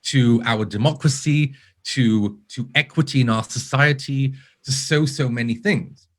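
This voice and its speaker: A man's voice: mid-range voice, measured pace. A man from Israel with a British-sounding accent, a guest in a remote interview, speaking earnestly and building his points in careful lists. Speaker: podcast guest, user researcher. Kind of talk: casual interview talk.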